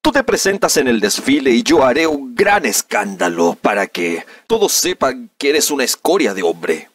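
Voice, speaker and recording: Man acting melodramatic wronged young man, swooping pitch. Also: big pitch swings, moderate pace, husky, no room sound, clean studio sound, far from the mic. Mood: agitated recounting. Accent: Latin American neutral dubbing Spanish. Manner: melodramatic, indignant, dubbed-film diction. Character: aggrieved young man recounting a humiliation to reporters. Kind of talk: amateur comedic dub of a news interview.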